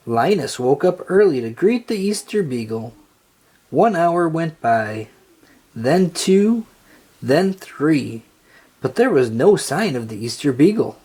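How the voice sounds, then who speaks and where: A narrator reading a children's storybook aloud in a deliberate, expressive storytelling manner. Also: medium pitch, husky.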